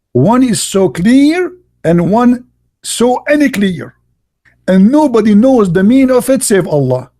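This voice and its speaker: A man's voice: sits high, a little mellow. Emphatic Arabic-accented man. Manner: emphatic, mocking, non-native grammar. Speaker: live-stream host debating callers. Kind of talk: heated religious debate talk.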